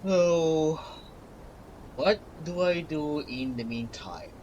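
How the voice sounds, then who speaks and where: A man's voice: a little high, drawn-out words, darkish tone, muffled recording, slight background noise. A man giving casual reaction commentary as a gaming streamer during a playthrough.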